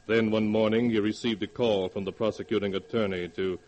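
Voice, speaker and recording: A man acting as a grave storyteller, narrating a 1940s radio horror tale in a dramatic, deliberate way. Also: lowish voice, fairly even intonation, darkish tone, lightly acted. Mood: grave, suspenseful. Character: grave storyteller of dark tales.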